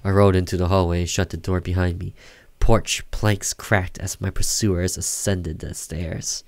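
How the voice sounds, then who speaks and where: A man's voice: low voice, flat delivery, moderate pace, gravelly, no room sound. A man reading a visual novel aloud as a gaming host, dramatic and theatrical, sometimes stumbling and rereading. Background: game music.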